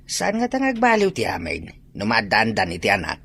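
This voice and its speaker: A man's voice: on the low side, wildly swooping pitch, moderate pace, vocal fry, fairly bright tone, husky, exaggerated voice. A man playing a curious middle-aged father of a married son in an audio comedy drama. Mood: musing.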